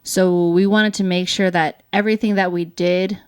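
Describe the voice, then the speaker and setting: A woman's voice: on the low side, steady tone, moderate pace, darkish tone, smooth, no echo, clean studio sound. A woman from the United States, co-hosting a casual explanatory chat in an agreeable way. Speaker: co-host.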